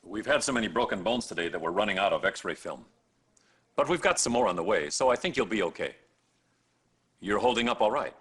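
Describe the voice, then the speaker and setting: A stern senior doctor in a game cutscene. The voice is husky and sharp, on the low side. He is condescending and authoritative, admonishing with dry approval at best. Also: lively intonation, fairly quick. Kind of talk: acted game cutscene dialogue addressed to the player.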